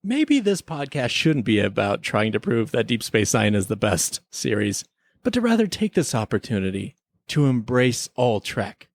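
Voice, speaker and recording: A male podcast host talks casually in a dry, close recording. His delivery is wry and mock-earnest, sliding between relaxed review chat and playful skit. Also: mid-range voice, normal speed, no room sound.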